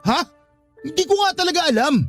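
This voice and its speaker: A man's voice: very high voice, lively intonation, fairly quick, a bit bright, soft background music. An actor playing a jealous, proud young father in a radio drama, arguing defensively. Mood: defensive, stubborn. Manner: argumentative, defensive. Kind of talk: Tagalog radio drama acting.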